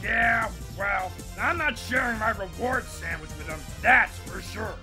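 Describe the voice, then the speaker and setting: A woman's voice: mid-range voice, measured pace, over music, close to an everyday voice. A woman voicing a grumpy, bossy male crocodile in a cartoon fan dub, blustering and grumbling.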